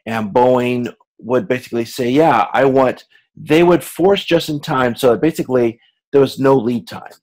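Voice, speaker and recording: A man lecturing as a university instructor, explaining slides informally in a halting way, repeating words and correcting himself as he goes. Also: mid-range voice, darkish tone, low-quality recording.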